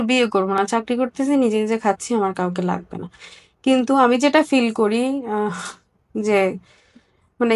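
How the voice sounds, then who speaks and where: A woman with a Bangladeshi accent answering interview questions in a candid, reflective, conversational way, repeating phrases for emphasis. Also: fairly high pitch. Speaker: interview guest.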